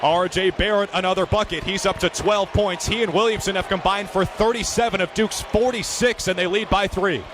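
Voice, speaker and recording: A man's voice: high voice, steady tone, brisk, hardly any pauses, background noise. A man in his early twenties working as a sports broadcaster, with polished commentary and reporting. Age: early 20s. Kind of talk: play-by-play commentary, interviews and studio reporting.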